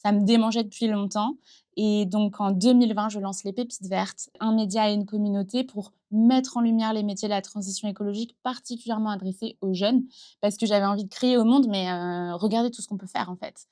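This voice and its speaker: A woman's voice: mid-range voice, fairly even intonation, a little fast, dark tone. A thirty-year-old woman from western France, speaking in a casual interview in an earnest, reflective way with frequent fillers, recorded in a small improvised studio. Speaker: entrepreneur guest. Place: improvised studio in a concert hall dressing room.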